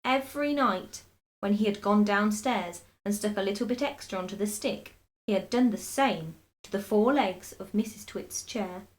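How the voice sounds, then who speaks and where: A woman reading a children's book aloud in expressive storybook narration. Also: mid-range voice, normal speed.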